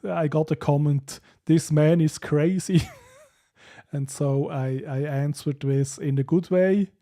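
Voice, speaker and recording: A man's voice: fairly high pitch, steady tone, slow, mellow. A man with a Swiss accent hosting a casual live stream, reading out viewer comments and often laughing between hesitant, filler-filled sentences. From Switzerland.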